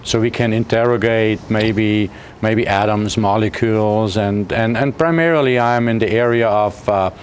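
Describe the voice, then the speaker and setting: Austrian man, 50s, low-pitched, hesitant guest, faint hiss. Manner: halting, many fillers and restarts. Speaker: physics professor, guest. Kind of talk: interview answers.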